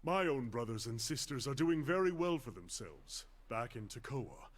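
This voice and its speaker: Earnest fantasy game companion, male traveler, medium pitch, reflective, conversational. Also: very animated, normal speed. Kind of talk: video game voice acting.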